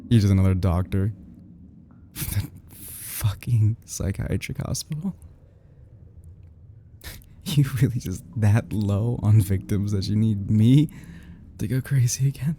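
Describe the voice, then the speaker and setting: A male actor plays an obsessive male kidnapper in his thirties, lovesick and fragile, in an intimate ASMR roleplay. His voice is very dark and mellow, with a creaky, gravelly edge and a slow, halting delivery that stumbles and drops into whispers. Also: lowish voice, a little hiss or hum. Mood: shaken, doubting. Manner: halting, stammering, slips into breathy whispers.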